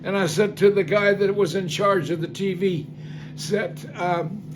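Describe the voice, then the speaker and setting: High-voiced older man telling a story. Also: fairly even intonation, measured pace, background noise. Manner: rambling, folksy, many fillers and restarts. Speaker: singer telling life stories. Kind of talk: casual spoken testimony.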